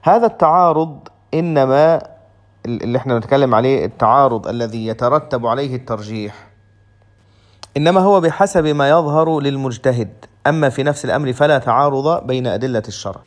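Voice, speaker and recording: A man's voice: medium pitch, lively intonation, quick pace. A man giving a lecture on a legal text, methodical and restating each point in simpler words. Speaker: Islamic law teacher.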